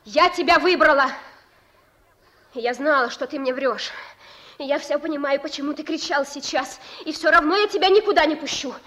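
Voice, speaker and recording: A woman's voice: high-pitched, moderate pace, fairly bright tone. An actress in a Russian radio drama playing a devoted young woman who pleads stubbornly and colloquially with the thief she loves. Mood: fiercely determined. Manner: pleading yet resolute, colloquial.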